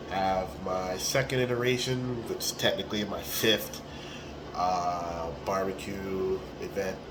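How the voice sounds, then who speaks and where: A man in his forties with a hoarse, raspy voice, talking casually into a phone held at arm's length in a small room, with plenty of ums and restarts. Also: deep voice, noisy background. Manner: relaxed and rambling, with many ums and restarts. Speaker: tournament organizer. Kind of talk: casual selfie video recap.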